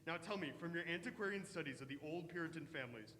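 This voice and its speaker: Radio drama, echoey hall: male actor as obsessed, pleading vampire-hunting father, high-pitched and theatrical. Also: quick pace, pushed and bright. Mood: anguished, insistent. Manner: urgent, emphatic, theatrical. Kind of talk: old-fashioned radio melodrama acting. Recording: echoey hall with faint noise.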